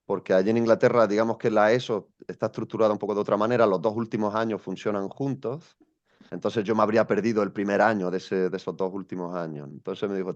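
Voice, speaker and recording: A man's voice: on the low side, darkish tone, lo-fi sound. A young man from Spain, a guest telling his story in an interview, thoughtful and hesitant with many restarts. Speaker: guest, education coach.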